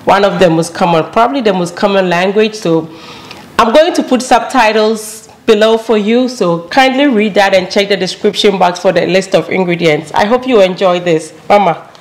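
A woman's voice: mid-range voice, normal speed. Ghanaian woman hosting a home cooking show. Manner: friendly, talks straight to viewers. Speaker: cooking channel host. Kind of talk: casual introduction to camera. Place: home kitchen, indoors.

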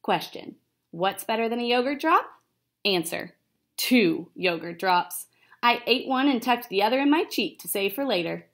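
A woman's voice: fairly high pitch, lively intonation, moderate pace, a bit bright. A young woman voicing a cocky, chatty male pet hamster in a storybook, self-satisfied and asking and answering himself. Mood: gleeful.

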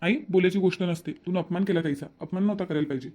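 A man's voice: sits high, fairly even intonation, rapid-fire, darkish tone, lightly acted. A man voicing a principled, earnest son in a cartoon family drama, with a Varhadi Marathi accent. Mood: reproachful. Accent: Varhadi (Vidarbha) Marathi.

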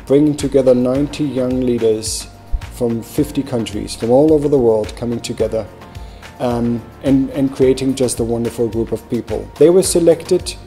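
A middle-aged man with a very dark, mellow voice explains calmly in an office interview. Soft music plays quietly under his words. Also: mid-range voice. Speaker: partnerships director. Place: indoor office.

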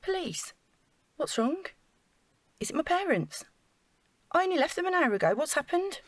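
A woman's voice: sits high, big pitch swings, moderate pace, dry recording. An actress playing an earnest, naive council housing officer in an audio drama, chatty and flustered as she over-explains. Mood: alarmed.

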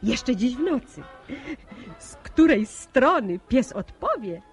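A pert young village woman in a radio play. She teases and brushes people off, her pitch swinging freely, with music playing behind her. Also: very animated, slow, full character voice. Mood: teasing, cool. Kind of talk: Polish radio drama acting.

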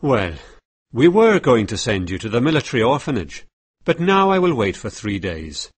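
Guy reading as a doubtful stiff priest, husky voice, dry sound, lively pitch. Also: normal speed, no echo. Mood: skeptical. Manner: formal, skeptical. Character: skeptical male priest, formal.

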